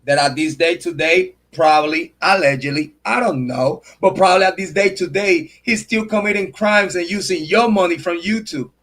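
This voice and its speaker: A man's voice: high-pitched, normal speed, few pauses, fairly bright tone. A man hosting a true crime livestream, ranting in a heated, profane, repetitive way and stopping to thank viewers by name. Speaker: true crime channel host. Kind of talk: livestream commentary rant.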